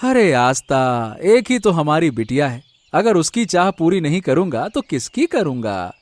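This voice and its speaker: Man acting high-voiced, doting village father. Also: sing-song, measured pace, no echo. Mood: gentle, dutiful. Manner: easygoing, affectionate. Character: kind, doting village husband and young father. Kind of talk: Hindi cartoon moral story acting.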